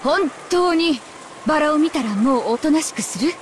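A woman's voice: sits high, lively intonation, fast, slight background noise. A woman voicing a weary, strict young mother in a visual novel game, scolding and pleading. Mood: resigned, firm.

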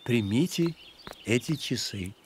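An actor playing a courteous maestro guide, narrating an educational children's cartoon expressively. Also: male voice, medium pitch, animated, slow pace, background noise. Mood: courteous, explaining.

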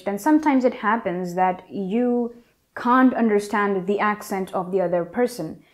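A young woman whose first language is Urdu talks straight to camera, explaining tips with earnest emphasis and lively hand gestures. Her voice sits in a middle range for a woman. The sound is close and clean from a clip-on mic in a room at home. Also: mid-range voice. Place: indoor room with curtains. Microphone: lapel mic.

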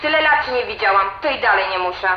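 A woman's voice: mid-range voice, expressive, fast, lightly acted. A woman playing an angry, scornful adult daughter in a radio drama, biting, accusatory and wounded. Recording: dull, roomy, radio-like sound, faint noise.

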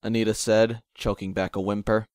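A man's voice: on the low side, little pitch movement, moderate pace, a little mellow, clean tone, dry recording, clean studio sound. A man narrating a scripted audio drama in a wry storytelling manner. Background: music.

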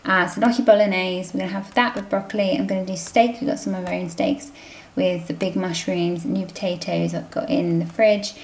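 A woman's voice: fairly low pitch, steady tone, a little fast, a little mellow. A woman with a British accent chatting informally through a grocery haul as a family vlogger, filming at home on a handheld camera. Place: indoor room at home. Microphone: handheld camera microphone. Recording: roomy, noisy home camera recording.

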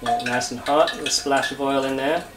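A man's voice: medium pitch, moderate pace, very noisy. A man demonstrating a recipe at home, chatting casually while he works at the stove. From United Kingdom. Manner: relaxed, chatty, talks while working. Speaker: cooking show chef. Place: home kitchen. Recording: echoey kitchen with loud sizzling. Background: frying and sizzling.

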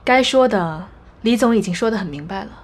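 A woman's voice: mid-range voice, expressive, a little fast, natural voice. A woman playing a composed, diplomatic executive in an audio drama, polished and placating. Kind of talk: Chinese audio drama acting.

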